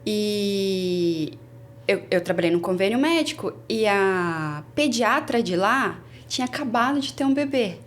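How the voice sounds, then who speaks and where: A Brazilian woman in her twenties, a former au pair, telling her story in a casual interview with lively restarts. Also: medium pitch, measured pace. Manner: chatty, animated, many restarts.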